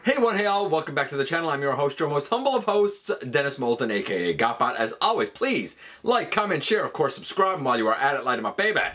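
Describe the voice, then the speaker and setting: Animated man hosting a countdown. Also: a little high, fast talker, hardly any pauses, slight background noise. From Canada. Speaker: YouTube channel host. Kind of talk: countdown video commentary to viewers.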